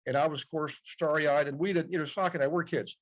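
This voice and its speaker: A man's voice: on the high side, steady tone, fast. A man in his late fifties, a podcast guest telling stories in a casual, chatty way, often answering "yep". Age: 50s. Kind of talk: casual storytelling interview. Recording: dull, muffled audio.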